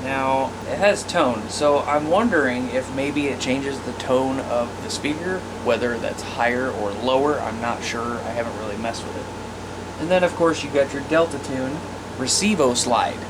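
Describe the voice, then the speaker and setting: A man's voice: medium pitch, normal speed. American man, casual demo, noisy background. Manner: informal, plain-spoken. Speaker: radio hobbyist presenter. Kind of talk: casual hands-on gear demonstration.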